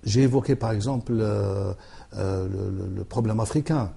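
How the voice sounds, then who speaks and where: A man being interviewed about his books, speaking in a halting way with many restarts and fillers as he thinks aloud. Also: on the low side, vocal fry, dark tone, breathy edge. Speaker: author, interview guest. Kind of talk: hesitant conversational interview.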